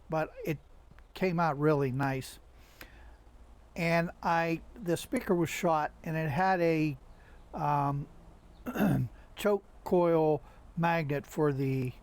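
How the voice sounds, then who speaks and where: A man giving a casual, unscripted how-to narration as a hobbyist, in a halting, conversational way. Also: a little high, darkish tone. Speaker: hobbyist radio restorer and presenter.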